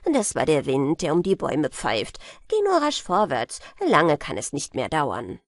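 A woman reading an audiobook, putting on a lower voice for a cheerful, unbothered male journeyman smith who teases his fearful companion. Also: unhurried, no echo, studio quality, heavily acted. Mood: reassuring. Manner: calm, mocking, reassuring, lively intonation. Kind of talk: audiobook character voice.

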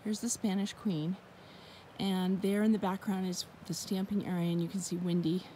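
A woman's voice: on the low side, little pitch movement, normal speed, background noise. A woman casually narrating a road tour, explaining directions in a practical way. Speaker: tour guide giving directions.

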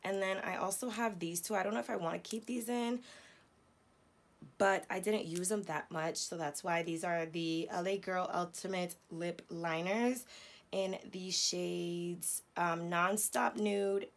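A young woman beauty vlogger with a hoarse, raspy voice after losing it, chatting casually and slangily about makeup at home. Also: on the low side, fairly even intonation, measured pace. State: hoarse, lost voice. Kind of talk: casual chatty product talk. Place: home beauty room, indoors.

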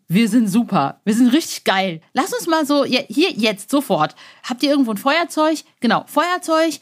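Enthusiastic, chatty woman podcast host. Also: a little high. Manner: informal and slangy, addressing listeners directly; enthusiastic, gushing. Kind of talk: casual, chatty podcast presenting.

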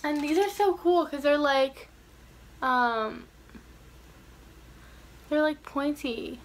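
A young woman with a somewhat high voice talks casually to her camera. She rambles and wanders into asides in the style of an informal vlog. The amateur bedroom recording carries faint noise. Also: slow pace. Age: young adult. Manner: chatty, rambling, trailing off into asides. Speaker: vlogger. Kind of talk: casual chat to camera, showing shopping. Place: small bedroom, indoors.

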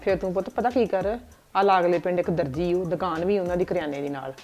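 A woman playing a practical village mother who gives her son steady instructions. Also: lowish voice, fast talker, close to an everyday voice. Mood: instructing. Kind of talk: village comedy sketch acting.

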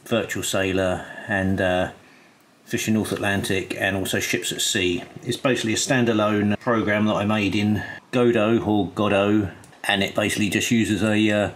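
A man's voice: fairly low pitch, steady tone, normal speed, fairly bright tone, a little hiss or hum. A man casually explaining a program he made, in an informal, hesitant way. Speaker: app developer demonstrating his program. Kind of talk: casual screen demo narration.

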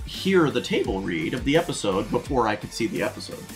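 A man's voice: mid-range voice, very animated, a little fast. A man chatting casually as co-host of a fan news channel. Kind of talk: casual show chat. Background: background music.

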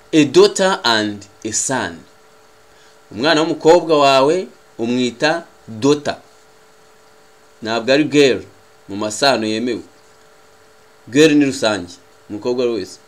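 A man with a Rwandan accent, a native Kinyarwanda speaker, teaching a language lesson in a deliberate, carefully enunciated way as he repeats phrases. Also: mid-range voice, expressive. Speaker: English teacher.

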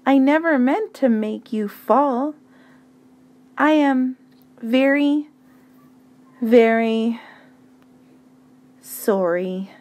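A woman voicing a scared, apologetic little girl in a storybook, speaking in an earnest, halting way. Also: on the high side, a little mellow.

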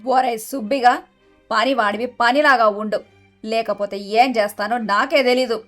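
A woman playing a haughty, scheming middle-aged mother-in-law in an animated moral story, with a scolding, sharp-tongued manner. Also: on the high side, unhurried, faint music behind. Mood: scornful, angry.

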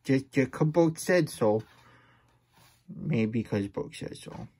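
A man's voice: mid-range voice, expressive, takes it slowly, mellow, breathy edge, exaggerated voice. A man voicing a silly, childlike plush hedgehog who reads storybooks aloud in babyish broken grammar and gets easily flustered. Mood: playful, suspicious. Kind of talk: playful storybook read-aloud.

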